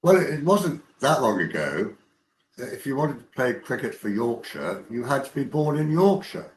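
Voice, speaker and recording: A man's voice: medium pitch, big pitch swings, moderate pace. A man in casual chat, reminiscing in a meandering way. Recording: roomy echo with faint hiss.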